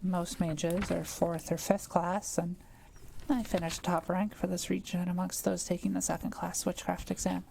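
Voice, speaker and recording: A woman playing a kind, patient witch in an intimate audio roleplay, explaining things gently and carefully. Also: on the low side, little pitch movement, moderate pace, vocal fry, a little hiss or hum. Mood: modest, reassuring. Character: kind, quiet-living adult witch, patient and reassuring.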